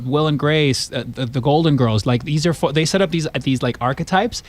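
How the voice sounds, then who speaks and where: A man's voice: a little high, fairly quick. A man, a stage actor, talking casually as a guest in a radio interview. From born in Cuba, raised in the United States. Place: radio studio.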